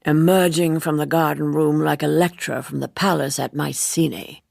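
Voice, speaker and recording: A woman voicing a polite, self-possessed, evasive gentleman in an audiobook, with a measured, formal and courteous manner. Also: low voice, fairly even intonation, vocal fry, no echo, clean studio sound, full character voice. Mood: suave. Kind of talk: audiobook character dialogue.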